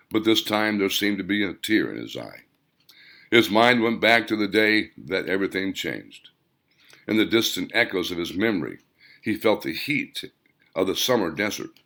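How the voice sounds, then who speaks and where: A man's voice: lowish voice, creaky, a bit bright. A man reading his own novel aloud as scripted audiobook narration, with dramatic, emphatic phrasing.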